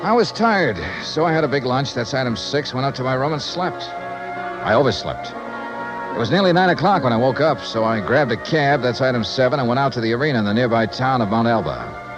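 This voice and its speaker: A wry, hard-boiled American investigator, played by a male radio actor, tells his case in 1950s radio style. His mid-pitched voice swings expressively through clipped narration and dialogue. It is an old radio recording with music. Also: animated, fairly quick, lightly acted, poor audio. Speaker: lead actor and narrator of a radio detective serial. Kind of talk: 1950s American radio drama acting, first-person narration.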